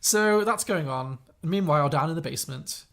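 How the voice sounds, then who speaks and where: A man's voice: fairly high pitch, animated, normal speed, creaky, a bit bright. A man co-hosting a podcast, chatting in a playful, rambling way and often laughing. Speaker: podcast co-host. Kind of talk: comedic casual chat.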